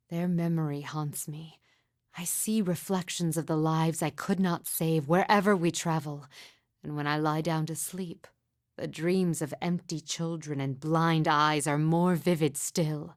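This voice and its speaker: A woman voicing a sorrowful, haunted mystic midwife in a video game, speaking in solemn, hushed, deliberate and formal phrases. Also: deep voice, no room sound, studio quality. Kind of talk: video game character dialogue, poetic and measured.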